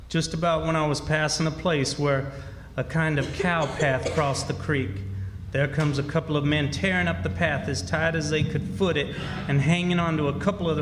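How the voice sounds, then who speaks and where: A man's voice: on the high side, background noise, natural voice. Man as a plainspoken backwoods boy, echoey hall. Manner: rustic, folksy, artless storytelling. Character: plainspoken backwoods boy telling his story. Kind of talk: first-person radio drama narration.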